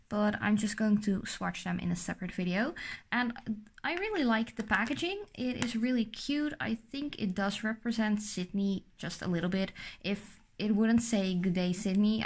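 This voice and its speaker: Young woman, Dutch native, sore-throated beauty vlogger; casual, rambling delivery with plenty of fillers. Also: mid-range voice. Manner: chatty, rambling, lots of fillers. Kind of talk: casual product unboxing.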